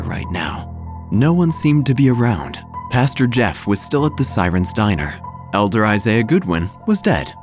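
A man playing a quirky investigator who narrates his own tale in a theatrical, deadpan, wry way. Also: lowish voice. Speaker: narrator and lead actor of an audio drama. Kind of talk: scripted first-person noir-like narration. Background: music.

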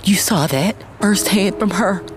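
A woman playing a grieving, bitter mother in an audio drama, emotional, wounded and halting. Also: medium pitch, soft background music, lightly acted. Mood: anguished.

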